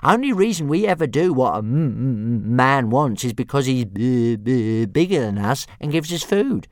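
A man plays a tiny, cocky baby dragon with a squeaky, high voice that stammers over the first sound of words. His tone is whiny and swoops widely up and down. The sound is close and dry. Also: sing-song, normal speed, no room sound, natural voice. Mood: cheerful. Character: tiny stuttering boastful baby male dragon.

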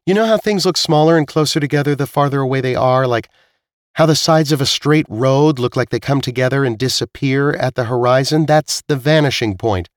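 A man voicing a sharp, earnest young woman in audiobook dialogue, explaining things insistently. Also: mid-range voice, a little fast, dry recording, studio quality, voice pushed up, close to an everyday voice.

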